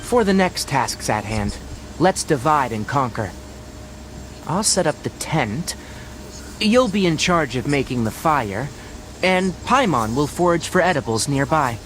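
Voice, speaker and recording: A man voicing a bright young forest ranger in an anime game, articulate and instructive, with a patient, wry manner. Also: fairly high pitch, very animated, a little slow. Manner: articulate, instructive, gently teasing. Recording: noisy game livestream capture.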